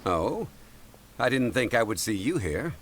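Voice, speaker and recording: An actor playing a composed, courteous scholarly gentleman in a fantasy game, speaking formally and politely. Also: medium pitch, very animated, unhurried, faint music behind. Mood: calm, courteous. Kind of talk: video game voice acting.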